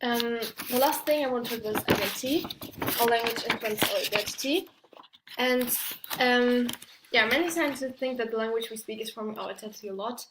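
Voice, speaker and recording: Girl student doing a class presentation, kinda high voice, trips over words, echoey room. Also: heavy background noise. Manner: halting, stumbling over words. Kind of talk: prepared academic presentation.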